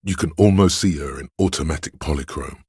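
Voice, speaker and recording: An actor voicing a seductive, hedonistic inner voice of addiction and lust in a video game, savoring words in a husky, insinuating way. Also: male voice, a little fast, darkish tone, no room sound, studio quality, full character voice. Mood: lustful, savoring. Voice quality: deep, gravelly, husky. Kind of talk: video game character narration.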